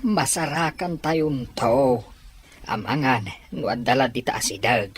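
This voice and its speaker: A woman acting a worried but hopeful parent in an Ilocano audio drama, with a husky voice. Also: very deep voice, unhurried.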